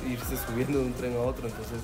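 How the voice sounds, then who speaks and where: A man narrating his road trip casually, explaining practical tips with many pauses and fillers. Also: mid-range voice, little pitch movement. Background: loud music.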